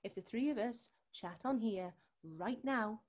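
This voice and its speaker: High-voiced, insistent British sister played by an actress. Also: female voice, a little slow, darkish tone. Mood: bargaining, insistent. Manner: insistent, scornful. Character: worried, determined sister confronting a drug dealer. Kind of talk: acted scene from a drama showcase.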